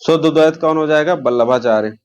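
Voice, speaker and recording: A man's voice: on the high side, a little fast, smooth, lo-fi sound. A man teaching an informal exam lesson, repeating key terms and posing questions as he explains.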